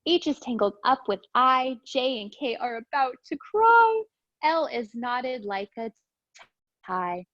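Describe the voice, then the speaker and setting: A woman with a fairly high voice reads storytime books as a preschool teacher. She goes very slowly, with a sing-song, encouraging delivery. The sound is dull and lo-fi, close and dry. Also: big pitch swings, a bit bright, dry recording. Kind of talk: animated read-aloud for young children.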